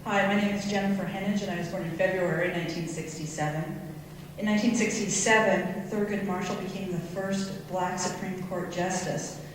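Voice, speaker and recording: A woman reading a prepared speech carefully and slowly to an audience, heard from a distant mic in an echoey hall. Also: mid-range voice, fairly even intonation, background noise. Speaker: student presenter.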